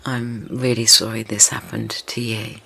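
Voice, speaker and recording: A man leading a guided meditation in a gentle, soothing, tender manner, unhurried and with long pauses between phrases. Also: on the low side, creaky. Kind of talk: slow guided meditation, yoga nidra. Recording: steady ocean wave noise behind voice. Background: ocean wave sounds.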